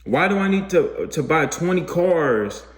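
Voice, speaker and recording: A man's voice: on the high side, lively intonation, unhurried, echoey room. A man in his twenties talking through his life decisions in a rambling, self-questioning monologue, restarting his sentences often. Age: 20s. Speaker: vlogger. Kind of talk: reflective casual monologue.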